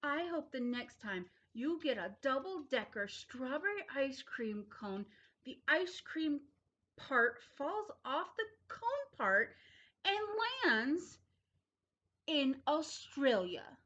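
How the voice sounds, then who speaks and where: A woman's voice: sits high, very animated, slow, fairly bright tone, lo-fi sound, pitched above the performer's own voice, heavily acted. A woman voicing a sulky young storybook boy who complains in an exaggerated, whiny way. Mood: spiteful. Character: aggrieved, sulky young boy having a bad day.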